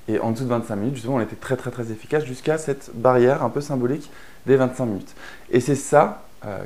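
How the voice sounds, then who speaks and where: A man's voice: mid-range voice, dark tone. A man from France explaining a productivity method in a chatty online lesson, with frequent fillers and restarts. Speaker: online course instructor. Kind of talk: explanatory course narration.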